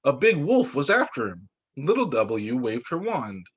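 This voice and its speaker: A man working as a teacher, reading children's storybooks aloud in an expressive way. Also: medium pitch, a little slow, husky. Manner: expressive, acts out dialogue for young children.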